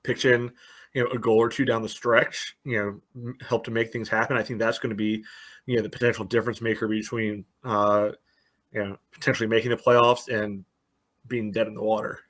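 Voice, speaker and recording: A man's voice: lowish voice, level tone, fairly quick, a bit bright. A man giving casual soccer analysis on a podcast, breaking his thoughts into short phrases padded with filler. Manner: peppers phrases with "you know". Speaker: podcast host and soccer supporter. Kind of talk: casual sports podcast discussion.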